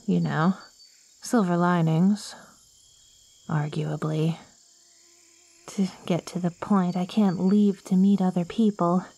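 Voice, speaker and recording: Woman acting as unhurried, eager ancient plant girl. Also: fairly low pitch, mellow, dry recording. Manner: quirky, wordy, theatrical, slightly formal phrasing. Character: ancient, lonely, eager plant girl monster, clingy and curious. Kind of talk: ASMR roleplay, acted monologue to the listener.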